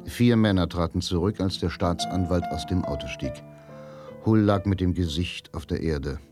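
An actor narrating a radio drama as a grave, literary storyteller with a solemn, dramatic manner. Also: male voice, low voice, normal speed, over music, close to an everyday voice. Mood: grim. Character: grave, literary male narrator of a crime tale.

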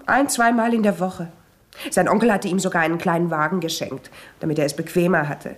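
An actress playing a talkative, defensive young housekeeper questioned by a police inspector in a 1970s radio play. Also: female voice, on the low side, fairly even intonation, brisk, natural voice. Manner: talkative, defensive, easily startled. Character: young housekeeper, chatty and nervous.

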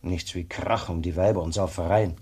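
An actor playing a blunt, grumbling former village policeman in a radio play, with a husky voice. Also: low-pitched, fairly even intonation, moderate pace, darkish tone.

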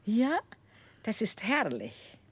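An actress playing a devoted, decisive older aunt in a 1960s radio drama, speaking tenderly and emphatically with a creaky voice. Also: female voice, fairly high pitch, wildly swooping pitch, slow, heavily acted. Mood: pleased, amused. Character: devoted, generous, decisive aunt of a young man.

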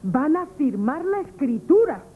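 A woman's voice: high voice, sing-song, unhurried, narrow, tinny audio, slight background noise. An actress with a Spanish accent playing a feisty, conspiratorial young working-class woman in a comic radio play. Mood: urgent, practical. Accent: Spanish, from Spain. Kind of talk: 1970s Spanish radio comedy theatre acting.

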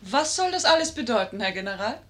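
A woman's voice: a little high, very animated, a little fast, a bit bright. An actress playing a bold, witty, scheming lady who is teasing and proud, in a 1950s German radio play. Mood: cool, mocking.

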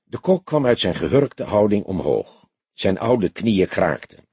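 A man's voice: fairly low pitch, sing-song, a little slow, darkish tone, husky, no echo. A man narrating a scripted crime story as an audiobook reader.

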